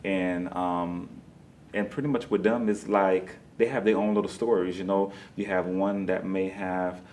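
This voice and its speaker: A man's voice: low-pitched, steady tone, unhurried, a little mellow, a little hiss or hum. A man being interviewed as a playwright, talking casually and conversationally, often saying "you know.".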